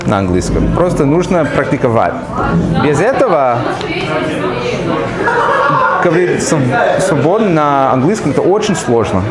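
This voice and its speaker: A man in his thirties with an American accent, a native English speaker chatting in plain, slow Russian, recorded in a busy bar by a camera across the table. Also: fairly high pitch, big pitch swings, reverberant, a loud crowd. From United States. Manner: speaks Russian slowly with simple phrasing and repeats words. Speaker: vlogger answering comments. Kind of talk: casual chat. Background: loud music.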